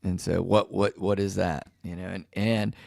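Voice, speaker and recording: Male host, lowish gravelly voice, chatty questioner, dry sound. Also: level tone, moderate pace, darkish tone, dry recording. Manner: leading questions, chatty, laughs easily. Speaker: podcast host. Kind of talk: casual chat.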